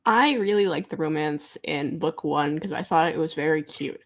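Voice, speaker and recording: A woman speaks in a fairly low voice with heavy vocal fry. She is a panelist in a casual livestream book chat, giving her views in a frank, informal way. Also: moderate pace. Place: remote livestream call.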